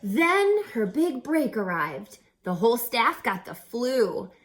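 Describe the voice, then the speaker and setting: A woman reading a rhyming children's picture book aloud as a teacher, with theatrical, deliberate, emphatic phrasing. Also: a little high, big pitch swings. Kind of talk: expressive children's read-aloud of rhyming verse.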